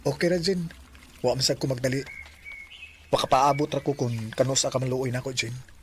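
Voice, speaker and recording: An actor playing a shy, nervous teenage schoolboy in love in a radio drama, speaking haltingly with a Cebuano accent. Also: male voice, mid-range voice, a little hiss or hum, natural voice. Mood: resigned, patient. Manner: hesitant, halting.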